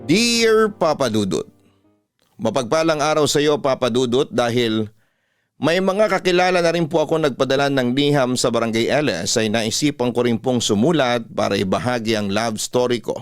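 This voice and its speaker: A Filipino man narrating a listener's letter in classic radio style. His mid-pitched voice is measured and earnest, recorded dry over soft music. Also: clean tone, dry recording. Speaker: radio drama host and letter reader.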